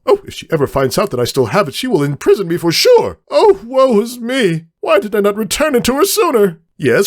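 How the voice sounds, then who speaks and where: A man's voice: fairly high pitch, husky, pitched above the performer's own voice, full character voice. A man voicing a large male cartoon pony who rants in a prim and proper, melodramatic, wordy manner. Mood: woeful ranting.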